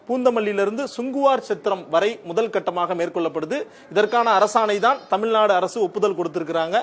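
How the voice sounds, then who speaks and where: High-pitched male news reporter. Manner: semi-formal, explanatory, listing points step by step. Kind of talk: explanatory news report over graphics.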